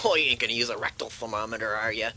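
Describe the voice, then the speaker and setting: A man's voice: medium pitch, bright, forceful tone. A man playing a cranky, demanding hospital patient in a comedy sketch, whining, blustering and grumbling out orders and insults.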